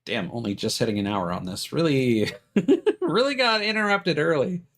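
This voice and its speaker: A man's voice: on the high side, lively intonation, normal speed, fairly bright tone. A man doing casual, rambling live stream commentary on a game, thinking aloud in hesitant fragments.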